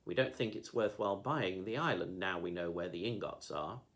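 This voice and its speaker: A man's voice: low-pitched, moderate pace, a bit bright. A man reading a storybook, voicing a cold, threatening adult male kidnapper. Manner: cold, commanding.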